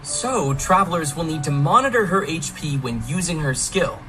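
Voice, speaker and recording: A woman's voice: sits low, lively intonation, normal speed. A woman co-presenting a game showcase in a conversational promotional style. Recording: music behind, played within a reaction video. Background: clear music.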